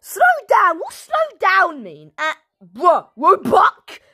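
A young woman giving excitable running commentary on her gameplay, repeating herself and shouting, her throat sore and coughing. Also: extremely high pitch, takes it slowly. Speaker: gaming channel host. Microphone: phone.

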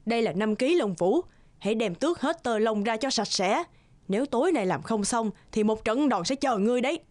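A woman's voice: fairly high pitch, expressive, a little fast, a little mellow, close to an everyday voice. A woman voicing a cruel middle-aged stepmother in a cartoon, scornful, domineering and threatening. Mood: menacing, scornful. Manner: scornful, commanding.

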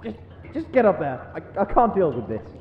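Prim, huffy adventurer, male actor, high lively voice, comic fantasy audio. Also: normal speed, narrow, tinny audio. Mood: disgusted, fussy. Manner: fussy, exasperated, formal phrasing. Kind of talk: comedic fantasy audio drama. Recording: echoey reverberant room with some noise.

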